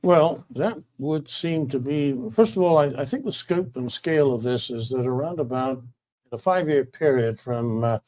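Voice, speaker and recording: A man hosting an interview, asking halting questions that often restart mid-sentence. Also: mid-range voice, darkish tone. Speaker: podcast host.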